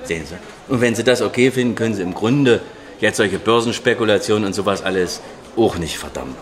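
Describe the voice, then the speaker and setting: A man's voice: on the low side, quick pace, slight background noise, natural voice. An actor playing an opinionated interviewee who explains things in a didactic, colloquial way, checking that he is followed. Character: opinionated male interviewee lecturing on moral dilemmas.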